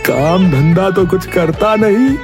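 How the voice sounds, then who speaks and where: Cartoon washerman, lazy schemer played by a man: high-pitched, slow, exaggerated delivery over prominent music. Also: expressive. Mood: scheming, resentful. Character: lazy village washerman and his scheming jealous workmates. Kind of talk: Hindi animated moral-story voice acting.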